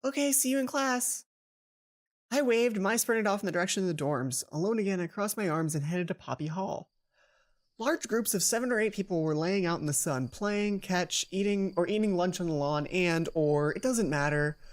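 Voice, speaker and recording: Lower-pitched woman narrating a game playthrough. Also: fairly quick. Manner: expressive reading with frequent ad-libbed asides. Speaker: let's player. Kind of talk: reading a visual novel aloud with casual commentary.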